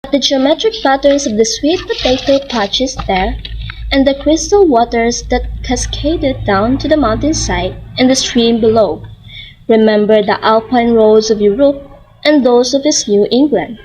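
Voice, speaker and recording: A woman's voice: a little high, a little slow, a little mellow, husky, muffled recording. A young woman narrating descriptive passages of a short story from a script. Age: young adult.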